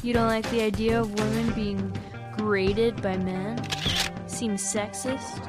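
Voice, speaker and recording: A woman's voice: medium pitch, flat delivery, slow pace, loud music behind the voice, lightly acted. A woman playing a pushy, probing press reporter, reading novel dialogue as a string of insistent questions. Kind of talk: acted read-aloud of novel dialogue.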